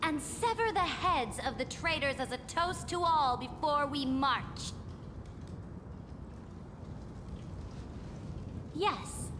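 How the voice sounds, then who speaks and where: A woman's voice: high voice, in a large hall, background noise. An actress playing a proud, imperious female emperor in a video game, speaking in grand, commanding formal oratory. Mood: stern accusation. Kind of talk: dramatic video game voice acting. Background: music.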